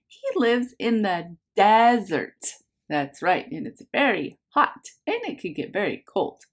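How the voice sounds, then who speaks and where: Animated, slow-paced woman reading to small children. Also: medium pitch. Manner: animated, sing-song, simple phrasing addressed to small children. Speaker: preschool teacher reading a picture book aloud. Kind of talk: children's read-aloud with questions to young listeners.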